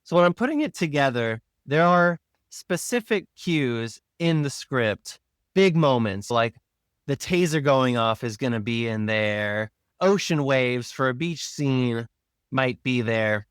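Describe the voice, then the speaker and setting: A man's voice: mid-range voice, animated, measured pace, clear, resonant tone, no echo, clean studio sound. A man talking casually as a sound designer being interviewed, explaining his craft step by step. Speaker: sound designer and podcast guest. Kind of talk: casual behind-the-scenes interview talk.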